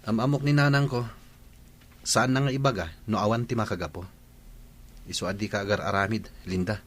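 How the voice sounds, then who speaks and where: An actor with an Ilocano Filipino accent playing a stern husband and dutiful son in a comedy radio drama. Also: male voice, lowish voice, fairly even intonation, fairly quick, fairly bright tone. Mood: scolding.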